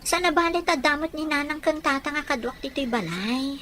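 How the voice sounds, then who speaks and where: An actress with an Ilocano Filipino accent playing a young pregnant wife, weary and slightly petulant, in a radio drama. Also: female voice, sits high, a little fast, fairly bright tone, a little hiss or hum. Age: young adult.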